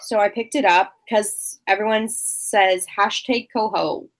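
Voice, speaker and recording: A woman's voice: medium pitch, steady tone, measured pace, poor audio. A woman author joining a casual book chat over a video call as a guest, easygoing, with short agreeing replies and light laughs.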